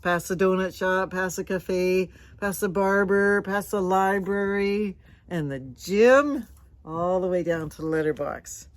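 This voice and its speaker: A woman reading a storybook aloud to children, gentle and conversational, with chatty, questioning asides. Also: medium pitch, a little slow, no echo. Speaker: storybook reader.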